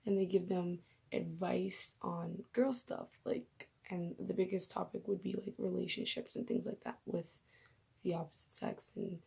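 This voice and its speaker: Young woman vlogger, raspy and husky from a coming cold, mellow dark tone, casual rambling talk. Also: lowish voice, measured pace. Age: young adult. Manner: chatty, rambling, laughs at herself. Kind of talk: casual faith vlog. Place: bedroom.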